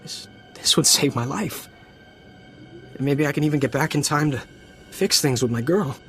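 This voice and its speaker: An actor playing a desperate, war-weary deserter soldier in a video game, stammering and pleading nervously. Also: male voice, a little high, moderate pace, a bit bright, soft background music. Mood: stunned, pleading. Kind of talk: dramatic video game acting.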